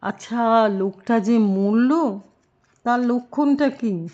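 A woman's voice: on the high side, mellow, lo-fi sound, voice pushed up. Woman voicing a sly jackal trickster, theatrical singsong. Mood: mock mourning. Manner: theatrical, drawn-out singsong calls. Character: sly cunning male jackal pundit, mocking trickster. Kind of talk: children's storytelling.

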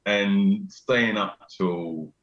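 A middle-aged man with a deep bass voice, chatting casually as a guest on a video call, repeating himself and laughing often. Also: very slow. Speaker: venue owner, guest. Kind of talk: casual chat. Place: small room indoors. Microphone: video call webcam. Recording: roomy, dull video-call audio.

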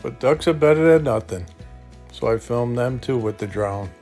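A man's voice: mid-range voice, lively intonation, normal speed, darkish tone, soft background music. A middle-aged man hosting an upbeat vlog, talking and narrating. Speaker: vlog host.

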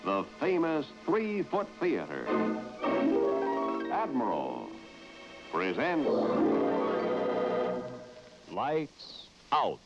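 A man's voice: mid-range voice, wildly swooping pitch, very slow, long pauses, loud music behind the voice. A man working as a sponsor announcer in a vintage broadcast style. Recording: muffled vintage broadcast recording.

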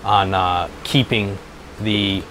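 A man in his thirties giving a casual workshop explanation as a business owner, haltingly and repeating his phrases. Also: lowish voice, flat delivery, fairly bright tone, slight background noise. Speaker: helmet restoration business owner. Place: small workshop, indoors.

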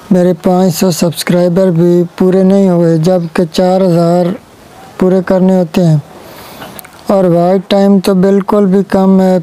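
A man's voice: high-pitched, dark tone, rough recording. A young man from Pakistan with a Pakistani Urdu accent, speaking earnestly to camera on a lapel mic outdoors in a village courtyard. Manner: slow, earnest, pleading. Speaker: village vlogger. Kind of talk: direct-to-camera appeal.

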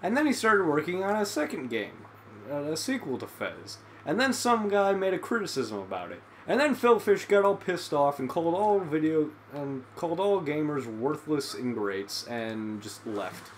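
A man's voice: a little high, very animated, moderate pace, a little hiss or hum. A young man co-hosting a game let's play, sarcastic as he explains. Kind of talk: casual gaming chat.

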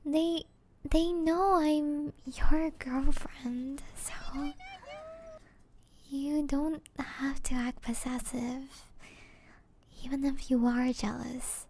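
A woman performs an affectionate young gamer girlfriend for an ASMR roleplay. Her voice is high and mellow, breathy and often close to a whisper. She speaks very slowly and hesitantly, with a playful, teasing softness. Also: steady tone, full character voice. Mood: flustered, teasing. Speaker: roleplay voice actor. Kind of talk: soft-spoken ASMR roleplay.

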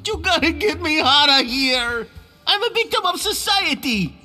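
A man's voice: very high-pitched, expressive, unhurried, sharp tone, soft background music, heavily acted. A man playing a wretched, pleading prisoner in a cartoonish video game, whiny and theatrical. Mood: desperate pleading. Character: pleading, foul-breathed, wretched male jailbird.

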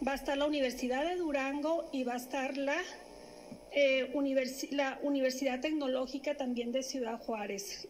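High-voiced woman at a press conference. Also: slight background noise, rough recording. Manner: halting, many fillers and restarts. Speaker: municipal government official. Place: indoor press room. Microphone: stand microphone.

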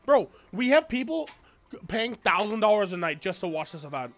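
A man in his late twenties streaming a game and chatting casually, excitable and swearing freely while talking over his friend. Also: sits high, normal speed, lo-fi sound. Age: 20s. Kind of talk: casual chat while gaming. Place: small bedroom with colorful wall.

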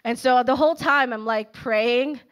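A woman in her thirties preaches in a chatty, animated way, full of personal stories and filler words. Her voice sits a little high. She speaks into a handheld microphone on a church auditorium stage. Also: normal speed. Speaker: speaker at a young adults' service. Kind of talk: conversational sermon.

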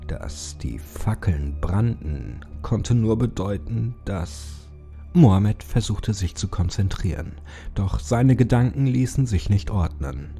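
A man narrating a horror story as a scripted, dramatic audiobook, in a brooding, suspenseful way with deliberate pauses. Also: lowish voice, expressive, vocal fry, dark tone, breathy edge, faint music behind.

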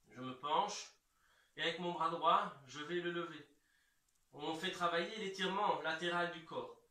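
A man in his thirties giving calm, reassuring step-by-step instructions as an exercise coach, recorded in a large bare room from several metres away. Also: a little high. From Belgium. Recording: echoey room, distant microphone.

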